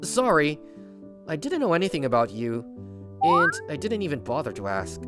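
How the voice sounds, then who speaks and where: A man's voice: fairly high pitch, huge pitch swings, moderate pace. A man voicing a kind, self-assured teenage schoolboy who narrates his own story in an anime-style dub, earnest and a little smug. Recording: music bed behind voice.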